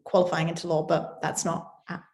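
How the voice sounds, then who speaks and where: A woman's voice: fairly low pitch, level tone, fairly quick, gravelly, darkish tone, echoey room. A woman, a law student, chatting casually while answering a question about degrees.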